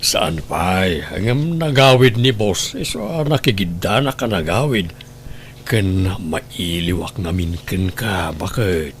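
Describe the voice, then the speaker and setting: A man's voice: sits low, animated, takes it slowly, a little hiss or hum, heavily acted. A man with an Ilocano accent playing a needy, grumbling husband home from working away, in an audio drama. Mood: amorous.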